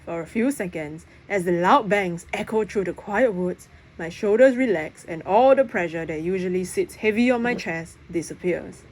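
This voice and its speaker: A woman reading a children's novel aloud in expressive narration. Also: on the low side, normal speed. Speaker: audiobook reader. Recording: clear background noise.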